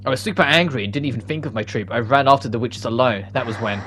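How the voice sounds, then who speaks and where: A man's voice: medium pitch, quick pace, fairly bright tone, a little hiss or hum. A man hosting a let's play, reading story dialogue aloud and adding casual commentary. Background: game music.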